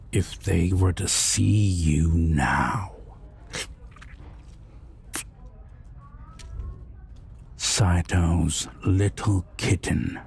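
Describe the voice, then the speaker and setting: Very slow, husky, menacing vampire. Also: male voice, low voice, darkish tone, full character voice. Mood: possessive, mocking. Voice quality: breathy, gravelly, half-whispered. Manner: slow, deliberate, taunting, with long pauses. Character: sinister possessive male vampire villain, dominant and menacing. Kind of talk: roleplay audio drama.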